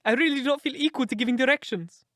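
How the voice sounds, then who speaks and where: A man voicing a frightened, feeble, nervous gentleman in an audiobook story, pleading and panicky. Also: extremely high pitch, lively intonation, quick pace, no room sound, clean studio sound, voice pushed up.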